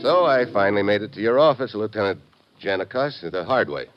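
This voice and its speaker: An actor playing a tough, wry American special agent in an old radio drama, clipped and laconic. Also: male voice, lowish voice, expressive, fairly quick, music in the background, lightly acted. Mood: businesslike. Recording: noisy, dull vintage radio recording.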